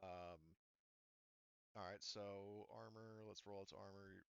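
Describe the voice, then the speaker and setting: Low-voiced man running a tabletop game. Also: flat delivery, slow, vocal fry, clear, resonant tone, no echo, dull audio. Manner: hesitant, thinking aloud. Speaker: game master. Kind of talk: casual tabletop game rules talk.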